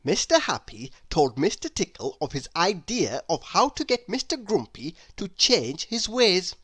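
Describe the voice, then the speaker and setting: A man reading a children's story aloud with dramatic, deliberate, expressive narration. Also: a little high, no echo, clean studio sound. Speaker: storybook reader.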